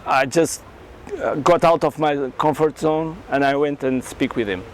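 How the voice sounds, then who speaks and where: A man with a Portuguese accent and Portuguese as his first language, telling his story in halting English as an interview guest. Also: on the high side, a little hiss or hum. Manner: earnest, halting non-native English with many restarts. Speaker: karate champion and entrepreneur, guest.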